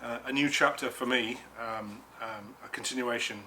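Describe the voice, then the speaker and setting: A man's voice: mid-range voice, fairly even intonation, normal speed, bright, forceful tone, a little hiss or hum. A man from Nottingham with an English accent, a guest designer chatting outdoors in a back garden, speaking plainly with hesitant ums. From Nottingham, England. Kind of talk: casual chat.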